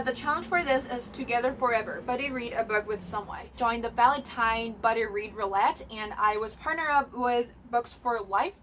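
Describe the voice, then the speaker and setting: A young woman whose first language is Cantonese talks to her camera from her bedroom, a little higher than most, loose and chatty. She fills gaps with "um" and "like" and restarts her sentences. A faint hiss sits under the camera-mic sound. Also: fairly bright tone, rough recording. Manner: chatty, many fillers and restarts. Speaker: booktuber. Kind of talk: casual bookish chat to camera.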